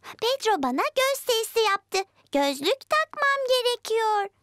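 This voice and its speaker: Very high-pitched little girl piglet. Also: sing-song, unhurried, a bit bright, no echo, clean studio sound, full character voice. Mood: insistent. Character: cheerful, excitable little girl piglet, slightly bossy. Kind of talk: dubbed preschool cartoon acting. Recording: music behind the voice.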